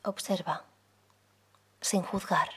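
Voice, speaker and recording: A woman leading a guided meditation as a yoga guide, speaking softly and soothingly, unhurried, with pauses between phrases. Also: on the low side, steady tone, husky. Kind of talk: guided meditation, slow calm instruction.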